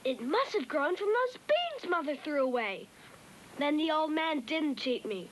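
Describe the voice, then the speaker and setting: A woman's voice: high voice, very animated, measured pace, poor audio. A woman voicing a wide-eyed young boy. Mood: eager. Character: young peasant boy, curious and brave. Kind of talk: 1970s cartoon dub acting. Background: loud music.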